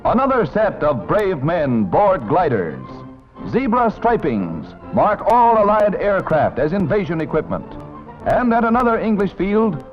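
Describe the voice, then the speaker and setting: An American man reading scripted 1940s newsreel narration in a dramatic, emphatic, declamatory manner. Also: high-pitched. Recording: dull vintage 1940s film soundtrack, loud music.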